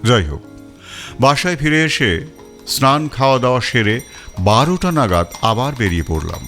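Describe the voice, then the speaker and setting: Male audiobook narrator for detective fiction, mid-range voice, animated melody, measured pauses, light music bed underneath. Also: mid-range voice. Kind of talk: scripted first-person detective story narration.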